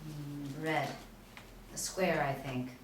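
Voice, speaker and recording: Actress doing hesitant intense 40ish woman, real slow delivery, echoey room. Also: steady tone. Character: hesitant forty-year-old woman, intense test subject. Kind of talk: table-read drama. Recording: echoey room, distant mic, low rumble.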